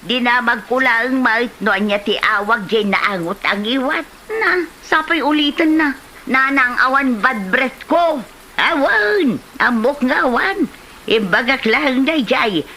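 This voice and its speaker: A woman's voice: high-pitched, lively intonation, unhurried, bright, forceful tone, slight background noise, full character voice. A woman with an Ilocano accent playing a nagging, complaining, blunt village auntie in a comedy drama, full of exclamations. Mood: teasing, nagging.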